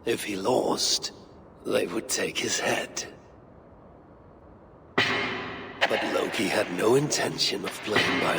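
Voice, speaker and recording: Grave, cunning male Norse god for a game; slow, gravelly delivery, measured and secretive. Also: on the low side, very noisy. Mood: solemn storytelling. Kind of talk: video game character acting.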